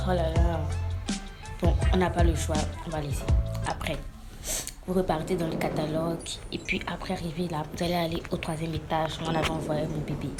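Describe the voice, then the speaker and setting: A woman with a cold giving a casual game tutorial, explaining step by step in a hesitant way. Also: low-pitched. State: has a cold. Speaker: gaming tutorial host. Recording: loud music under the voice. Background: loud background music.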